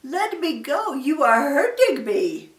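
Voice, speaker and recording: A woman's voice: high voice, big pitch swings, slow, echoey room, far from the mic, pitched above the performer's own voice, heavily acted. An elderly woman voicing a polite, naive young male elephant child in an earnest storybook reading. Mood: pained, pleading.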